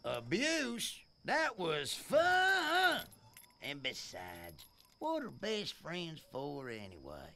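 High, creaky, goofy Southern tow truck. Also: male voice, drawn-out words, slight background noise, full character voice. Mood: happy, fond. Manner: folksy, excitable. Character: friendly goofy male tow truck, simple and loyal.

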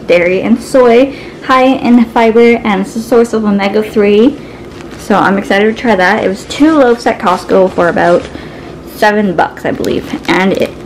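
A woman's voice: medium pitch, a little hiss or hum, rough recording. A young woman from Canada in her twenties, chatting casually through a grocery haul in her home kitchen, upbeat and listing items as she goes. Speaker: vlogger. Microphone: camera mic, handheld filming.

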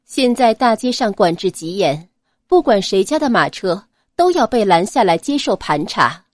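A woman narrating a serialized audio novel in a smooth, expressive storytelling manner. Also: medium pitch, normal speed, no echo, clean studio sound.

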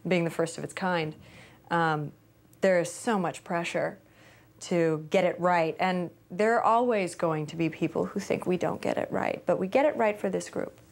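A woman's voice: fairly low pitch, normal speed, vocal fry. A woman speaking in a thoughtful, conversational way during an interview in an indoor studio. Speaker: actor in interview. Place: indoor studio against a backdrop.

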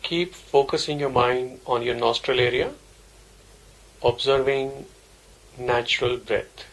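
A man giving guided meditation instructions as a teacher, in measured, simple, repetitive phrases. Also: mid-range voice, steady tone, fairly bright tone, poor audio.

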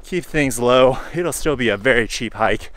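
A man in his forties, hot and sweaty, talking casually to a handheld camera while hiking outdoors, relaxed and rambling with fillers. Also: mid-range voice, normal speed, no room sound, low-quality recording. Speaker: hiking vlogger. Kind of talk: casual vlog talk to camera. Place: outdoors on a forest trail. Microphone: handheld camera held at arm's length.

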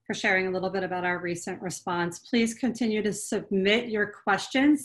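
A woman's voice: mid-range voice, fairly bright tone. Woman hosting an online town hall, cheery intros, medium voice. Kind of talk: introducing speakers.

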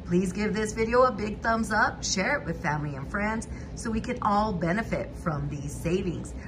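A woman's voice: mid-range voice, animated, moderate pace, background noise. A woman hosting a couponing channel, walking through store deals in a methodical voice-over, price by price.

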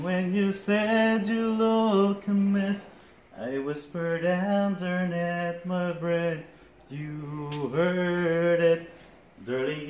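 A man in his thirties from the Philippines, a vlogger singing loudly and playfully through a vase like a megaphone in a large indoor home decor store, filmed on a phone. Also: on the high side, a little hiss or hum. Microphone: phone camera, often held at arm's length. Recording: roomy store echo.

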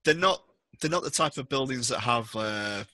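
A man's voice: medium pitch, expressive, moderate pace, over a noisy crowd. A man narrating a tabletop roleplaying game as game master over a headset. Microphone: headset on a video call.

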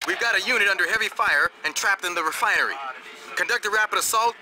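A tough male mission commander, played by an actor, barks clipped, forceful orders in a video game briefing. His voice is fairly high, with clear military radio noise around it. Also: fast talker, natural voice. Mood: urgent, commanding.

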